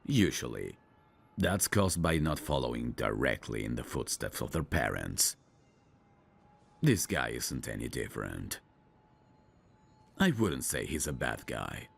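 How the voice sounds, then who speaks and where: A man acts out a gruff veteran police detective in an audio drama, with an extremely low voice full of gravel. He drawls slowly and sardonically, a tough, world-weary cynic. The husky delivery still rises and falls expressively. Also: sing-song, exaggerated voice. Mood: dry, matter-of-fact. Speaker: detective mentoring a rookie. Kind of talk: scripted roleplay audio drama.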